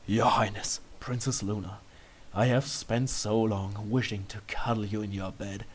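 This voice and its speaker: A man's voice: lowish voice, little pitch movement, measured pace, pitched below the performer's own voice. A man voicing a polite pony royal guard in a story reading, formal and theatrically earnest, a little flustered. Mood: earnest pleading.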